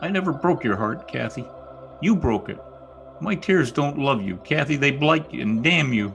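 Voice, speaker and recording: Male actor as anguished bitter lover, theatrical radio drama delivery, highly animated intonation, music underneath. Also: moderate pace, vocal fry. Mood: bitter, reproachful. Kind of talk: old-time radio drama acting. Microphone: recorded remotely over video call.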